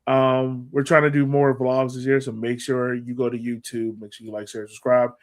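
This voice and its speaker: Guy podcast host, average pitch, loose slangy sports chat, real informal. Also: level tone, moderate pace. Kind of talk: casual soccer podcast chat.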